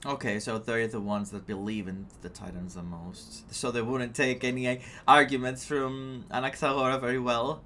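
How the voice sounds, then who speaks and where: A man doing playthrough commentary as a game streamer, reading on-screen text aloud and reacting in an animated way. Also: medium pitch, moderate pace, few pauses, slight background noise. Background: game music.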